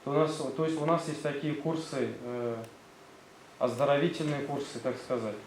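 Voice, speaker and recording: A man's voice: fairly high pitch, moderate pace. A man with a Tatar accent, a school director presenting his gymnasium to visiting officials, hesitant and full of fillers. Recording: roomy sound, faint background noise.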